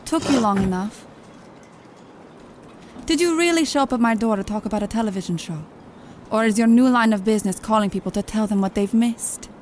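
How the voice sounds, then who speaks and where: A woman's voice: mid-range voice, brisk, darkish tone, a little hiss or hum, lightly acted. Actress as a cool, sardonic female crime boss. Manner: sardonic, self-assured. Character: cool, teasing female crime boss who hires killers. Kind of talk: dramatic audio drama acting.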